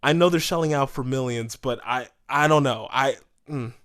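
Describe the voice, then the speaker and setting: A man co-hosting a podcast, discussing things casually in an animated way and acting out imagined quotes. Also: medium pitch, moderate pace. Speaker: podcast co-host.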